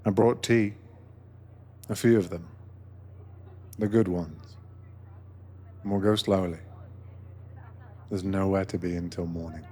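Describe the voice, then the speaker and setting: A man narrating a soothing ASMR guided meditation as an attentive sleeper train attendant, gentle and unhurried. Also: sits low, fairly even intonation, breathy edge.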